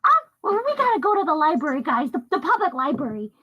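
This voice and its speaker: A young woman playing a tabletop game, chatting casually and playfully in a giggly, animated manner with little acted asides. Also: high voice, fast, dull audio.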